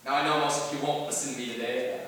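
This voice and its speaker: A man's voice: medium pitch, fairly even intonation, natural voice. Young man acting an anxious youth, echoey hall. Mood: nervous, earnest. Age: young adult. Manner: halting, earnest, swinging from quiet to loud outbursts. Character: anxious twenty-year-old man recounting his teenage depression. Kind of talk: dramatic interpretation speech, theatrical monologue. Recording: very echoey hall from far off, noisy.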